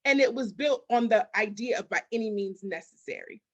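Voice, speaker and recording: A woman's voice: on the high side, a little fast, lo-fi sound. A woman giving motivational, conversational interview answers as a business coach, emphatic, with repetition and rhetorical questions. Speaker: business architect and mindset coach, interview guest.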